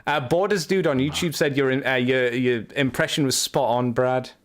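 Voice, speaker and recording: A man's voice: mid-range voice, normal speed. A man hosting a casual podcast chat, joking, teasing and swearing freely with his guest. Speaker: podcast host.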